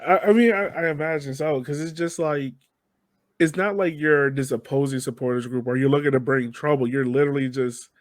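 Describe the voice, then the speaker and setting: A man's voice: mid-range voice, a little fast, dry recording. A man hosting a casual podcast conversation, agreeable and reflective, with long, wordy questions and replies. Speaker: podcast host.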